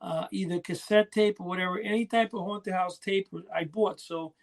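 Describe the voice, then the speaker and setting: A man with a noticeably high voice talks in a loose, conversational way, like a collector on a podcast. He rambles, doubling back and drifting away from his own point. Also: steady tone, normal speed. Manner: rambling, often losing his thread. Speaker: podcast co-host. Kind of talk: casual chat about collecting.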